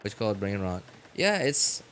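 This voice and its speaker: A man's voice: on the low side, big pitch swings, normal speed, fairly bright tone, a little hiss or hum. A man chatting casually on a livestream as a game streamer, rambling in slangy talk and often repeating his phrases. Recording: music behind the voice. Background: background music.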